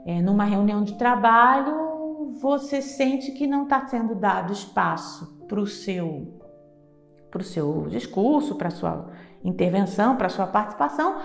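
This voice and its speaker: A woman's voice: mid-range voice, animated, a little slow, vocal fry, echoey room, faint music behind. A woman from Rio de Janeiro with a Carioca accent, lecturing psychologists in a didactic way and often checking in with "tá?". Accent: Carioca Brazilian Portuguese. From Rio de Janeiro, Brazil.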